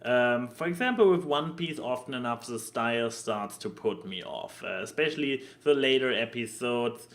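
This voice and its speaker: A man chatting casually as a podcast co-host, halting and stammering as he searches for words. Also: medium pitch, animated, a bit bright. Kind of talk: casual podcast chat about anime.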